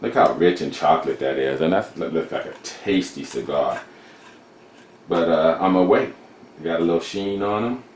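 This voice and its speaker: A man's voice: low-pitched, normal speed, a little mellow, echoey room, a little hiss or hum. A man casually rambling as he shows cigars from his humidor in a vlog. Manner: laid-back, rambling, chatty with fillers. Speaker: cigar reviewer. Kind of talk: casual show-and-tell vlog. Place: room beside his humidor.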